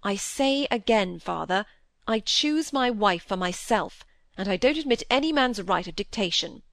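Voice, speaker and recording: Woman as young gentleman son, languid, proud, formal, polite, storybook dialogue, creaky, dry recording. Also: mid-range voice, lively intonation, normal speed, no echo. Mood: indignant. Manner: formal, polite, stiffly indignant. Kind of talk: audiobook character dialogue.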